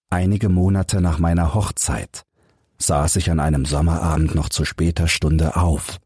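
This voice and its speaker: A man's voice: deep voice, darkish tone, breathy edge, dry recording, studio quality. A man giving a scripted literary narration of a detective story as an audiobook reader.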